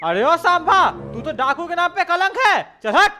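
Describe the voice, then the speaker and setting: A man's voice: extremely high pitch, sing-song, moderate pace, over music. A man voicing a blustering, impatient cartoon bandit who gives menacing commands on a train. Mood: threatening. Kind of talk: exaggerated cartoon dialogue.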